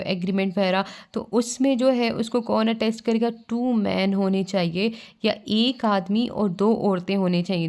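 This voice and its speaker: A woman with a Pakistani accent teaches at a slow, deliberate pace, her voice sitting at a middle pitch. She reads passages out and then explains them, moving back and forth between Urdu and English. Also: darkish tone. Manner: switches between Urdu and slowly read English. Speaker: law teacher. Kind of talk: lesson, reading out and explaining.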